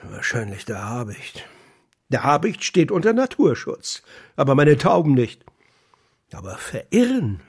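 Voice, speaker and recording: A lonely old pigeon keeper in a children's radio drama. His voice is gravelly and husky, with a rueful manner. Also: medium pitch, huge pitch swings, unhurried, exaggerated voice. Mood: earnest, wistful. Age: older. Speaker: actor in a children's radio play.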